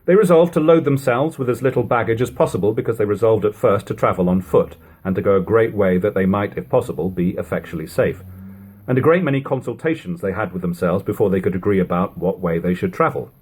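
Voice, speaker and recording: A man reading an old novel aloud as an amateur audiobook narrator, in measured, formal storytelling with expressive delivery, on a lo-fi home recording. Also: on the low side, husky.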